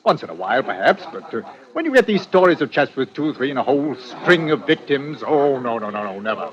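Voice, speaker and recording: An actor playing a genial, complacent police inspector in an old radio drama, pompous, hearty and blustery. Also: male voice, mid-range voice, sing-song, fairly quick, close to an everyday voice. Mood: blustery, dismissive. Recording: dull, hissy old radio recording.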